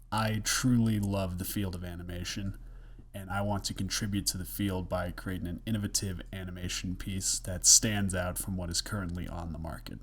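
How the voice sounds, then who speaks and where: A young man, a student, reading a project presentation aloud in a steady, scripted way, a bit hoarse from the flu. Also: deep voice. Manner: steady, scripted, list-like delivery.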